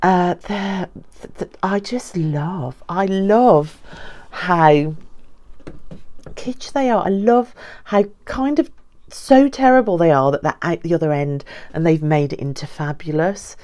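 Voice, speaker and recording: Woman vlogger, bit on the lower side, chatty and gushing, casual show and tell to camera. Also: slow pace, darkish tone. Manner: enthusiastic, gushing. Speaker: book vlogger. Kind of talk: casual book haul chat to viewers.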